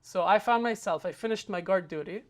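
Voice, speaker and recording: A man's voice: high-pitched, a little fast. Earnest young man telling his story. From Israel. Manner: earnest, many hesitant uh fillers and restarts. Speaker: interview guest. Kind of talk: personal storytelling interview.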